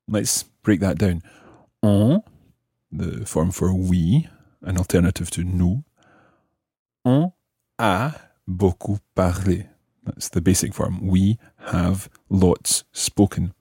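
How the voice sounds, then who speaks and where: A man hosts a language lesson in a low, very mellow voice with a husky grain. He explains grammar methodically, enunciating each phrase and translating it. The sound is dry and intimate. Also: dry recording. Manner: didactic, carefully enunciates and translates. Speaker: language teacher, podcast host.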